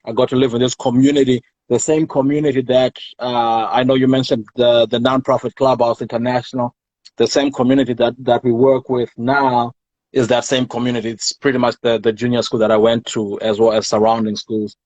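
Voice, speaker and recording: A thoughtful man from Zimbabwe talks through his answers slowly, restarting phrases as he hunts for the right word. His voice sits at a middle pitch. The recording is dull and dry, with no room sound. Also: flat delivery, darkish tone, no echo. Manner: reflective, searching for words, many restarts. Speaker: podcast guest, poet. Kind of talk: casual interview talk.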